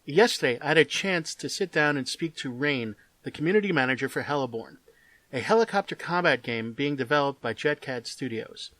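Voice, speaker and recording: A man's voice: medium pitch, expressive, a little fast, fairly bright tone, dry recording. A man hosting a gaming channel, opening with an upbeat greeting and interviewing a guest with eager reactions.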